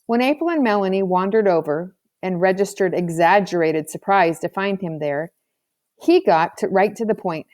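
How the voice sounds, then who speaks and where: Lady reading a kids novel out loud, medium pitch, dry recording. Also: normal speed, no room sound. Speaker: audiobook reader. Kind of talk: scripted storybook narration.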